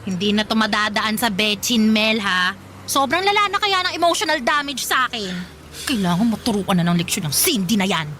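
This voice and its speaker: A woman's voice: mid-range voice, normal speed, bright, forceful tone, slight background noise, lightly acted. An actress playing a jealous, bossy and dramatic female eatery owner in a Tagalog radio drama, with sassy theatrical delivery. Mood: bossy, indignant.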